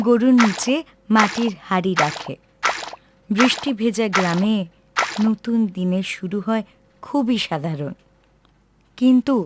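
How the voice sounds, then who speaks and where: A woman's voice: medium pitch, noisy background. A woman narrating a scripted folk tale for a cartoon as its storyteller. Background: music.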